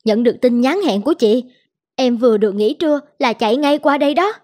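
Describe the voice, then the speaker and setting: A woman voicing a polite, observant younger sister-in-law in an animated drama, speaking in a measured way. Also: a little high. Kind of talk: Vietnamese animated drama dubbing.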